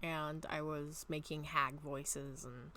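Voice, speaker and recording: Casual home vlogger, a woman with a lowish, slightly raspy voice, chatty and meandering, with a faint background hiss. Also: level tone, slow. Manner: rambling, many ums, soft trailing asides. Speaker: vlogger reading her own story. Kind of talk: casual chat and story reading. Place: at home, bedroom.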